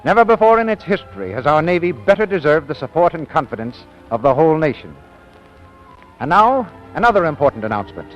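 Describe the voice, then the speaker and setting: A man's voice: fairly high pitch, very animated, fast. An American man announcing for 1940s radio in a formal, emphatic, polished style. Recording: dull 1940s radio broadcast. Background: music.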